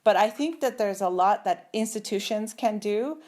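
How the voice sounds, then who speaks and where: A woman's voice: mid-range voice, normal speed, darkish tone. A middle-aged woman from Turkey, a computer scientist speaking as an interview guest over a video call, thoughtful and full of fillers and restarts. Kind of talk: conversational interview.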